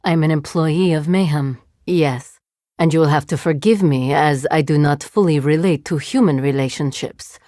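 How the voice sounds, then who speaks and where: A woman playing a composed female cyborg agent with a drone hive in a video game, speaking formally and precisely in measured phrases. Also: deep voice, warm tone, no room sound, clean studio sound, lightly acted. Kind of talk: scripted video game voice lines.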